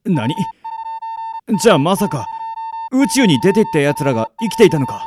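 A man playing a long-lived artificial caretaker in a radio drama, calm, devoted and casually philosophical. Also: fairly high pitch, normal speed, noisy background. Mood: excited, urgent. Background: music.

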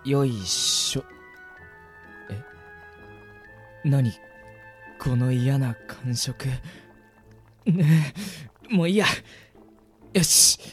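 A hesitant young man of middling pitch, acted by a man in a radio drama. He is mild and wary, and his intonation swings widely as he talks. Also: expressive, extremely slow, pauses often, faint music behind. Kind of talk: amateur Japanese radio drama acting.

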